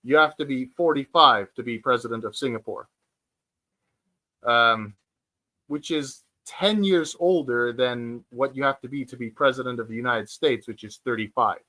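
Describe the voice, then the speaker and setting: A man of forty hosting a casual livestream chat from home, speaking hesitantly with many fillers and restarts and pausing to read out viewer comments. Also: mid-range voice, sharp tone. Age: 40s. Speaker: livestream host. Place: at home, sitting on the floor.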